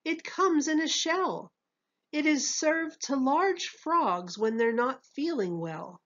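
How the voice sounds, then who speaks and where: An imaginative storybook waitress, as a woman reader plays her, explaining in lilting rhyme. Her voice is high and unhurried, and the recording sounds a bit dull. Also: lively intonation, fairly bright tone, heavily acted. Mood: earnest, explaining. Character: helpful, imaginative waitress explaining odd dishes.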